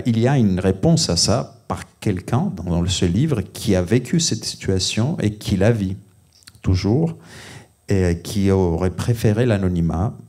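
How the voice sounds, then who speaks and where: A man's voice: sits low, mellow. A man from Greece with a Greek accent, an author speaking at a library panel, hesitant with many fillers and restarts. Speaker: guest author. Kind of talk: panel discussion at a library event. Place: library event room.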